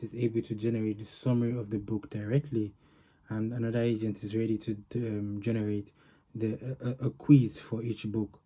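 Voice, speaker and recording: Dark-voiced man presenting a software demo. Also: on the low side. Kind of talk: informal product demo walkthrough.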